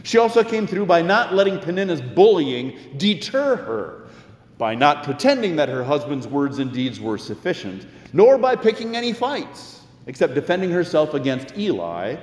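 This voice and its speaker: A man preaching a sermon as a pastor, with deliberate, emphatic phrasing and conversational asides. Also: fairly high pitch, sing-song. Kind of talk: sermon, expository storytelling with quoted scripture. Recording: echoey hall with long reverb.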